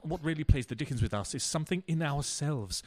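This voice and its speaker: A man's voice: on the high side, expressive, a little fast, a little mellow. Radio play: philanderer husband, played by a male actor, bumbling, florid, stammering, very varied intonation. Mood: rueful. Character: bumbling, self-dramatizing philandering English husband.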